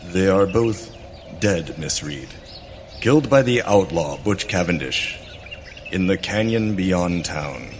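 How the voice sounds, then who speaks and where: A man playing a grave, loyal scout in a western radio play, speaking formally and deliberately. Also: deep voice, animated, slight background noise. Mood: somber. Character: solemn, loyal male trail companion and scout. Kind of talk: western radio theater acting.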